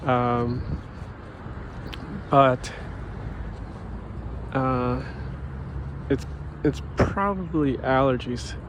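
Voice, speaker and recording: A man's voice: medium pitch, extremely slow, long pauses, background noise. A man vlogging in a rambling, casual way with many fillers and restarts, sounding stuffy and sniffling. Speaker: vlogger, educator. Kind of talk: casual monologue to camera.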